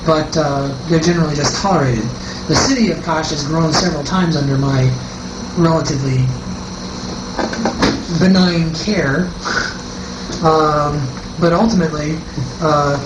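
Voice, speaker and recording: A man's voice: a little high, echoey room. Man, game designer, hesitant explainer in a round-table chat; distant mic, very noisy tape. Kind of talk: group interview discussion.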